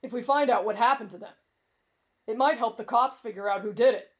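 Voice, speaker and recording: A woman's voice: fairly high pitch, brisk, voice pushed down, natural voice. A woman voicing a brash, cocky young man with a morbid streak and a blunt, boastful manner in an anime fan audio roleplay.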